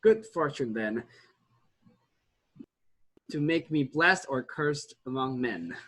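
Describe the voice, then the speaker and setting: A man playing a boastful, lovelorn noble suitor in a theatrical Shakespeare reading, declamatory but halting. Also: mid-range voice, lively intonation, a bit bright. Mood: resolute.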